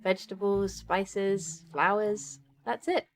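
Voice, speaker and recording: A woman's voice: mid-range voice, fairly even intonation, slow pace. A woman playing a cheerful, chatty young witch in an audio drama, rambling a little hesitantly and eager to please. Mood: playful. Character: cheerful, chatty young witch, tall rabbit-eared druid companion. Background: music.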